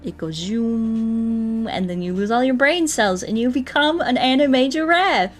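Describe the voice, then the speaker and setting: A woman's voice: fairly high pitch, unhurried, faint music behind. A woman livestreaming a game, chatting casually in a goofy, playful, rambling way. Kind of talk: casual livestream chat while playing.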